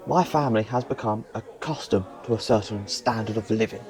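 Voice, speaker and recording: A man's voice: on the low side, brisk, a little mellow, lightly acted. A man acting a downcast, hard-drinking working man in a comic radio play.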